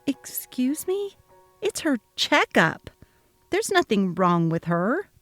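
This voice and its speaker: A woman's voice: a little high, sing-song, slow, fairly bright tone, no room sound, clean studio sound, soft background music, full character voice. A young woman voicing an exhausted new mother, speaking earnestly and pleading. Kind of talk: dramatic reading of a text-message story.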